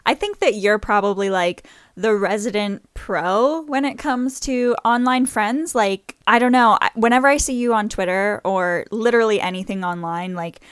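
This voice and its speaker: A woman's voice: medium pitch, normal speed, few pauses, clean tone, no room sound, clean studio sound. A woman co-hosting a casual podcast, rambling and giggly, with lots of "like". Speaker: podcast co-host.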